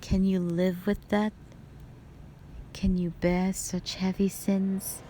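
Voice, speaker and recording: A woman playing a cruel, mockingly sweet spider-woman monster who taunts slowly and with menace in a fantasy audio roleplay. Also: medium pitch, level tone, dark tone, slight background noise, natural voice. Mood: taunting menace. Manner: slow, drawn-out, condescending taunting.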